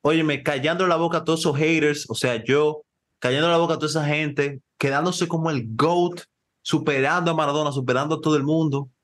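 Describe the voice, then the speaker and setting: A man co-hosting a football podcast, talking fast and excitably with lots of slang and jumping in over his co-host. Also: fairly high pitch. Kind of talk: casual football chat.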